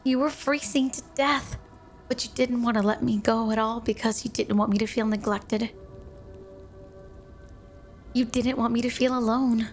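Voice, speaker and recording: A woman's voice: fairly high pitch, steady tone, moderate pace, long pauses, faint music behind, close to an everyday voice. A woman playing a flirty, devoted, possessive snow woman wife in an intimate, sweet ASMR roleplay. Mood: playful, tender.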